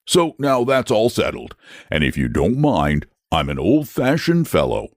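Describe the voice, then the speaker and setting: A man's voice: fairly low pitch, huge pitch swings, unhurried, no echo, studio quality, pitched above the performer's own voice, heavily acted. A man voicing a lazy, genteel male dragon who drawls in a leisurely, fussy way. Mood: placid.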